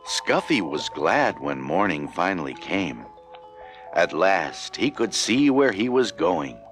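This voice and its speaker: A man's voice: low-pitched, very animated, slow pace, a bit bright. A man narrating a children's story from a script, with music playing behind him.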